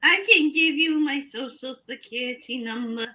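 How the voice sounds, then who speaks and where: A woman's voice: high voice, pushed and bright. A woman playing a rambling, folksy 82-year-old retired librarian on a phone call. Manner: slow, chatty, digressive, folksy. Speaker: scam baiter.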